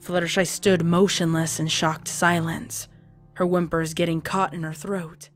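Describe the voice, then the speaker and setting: A woman's voice: on the low side, level tone, a little slow, soft background music. A woman narrator reading a dramatic fan fiction story in a scripted style.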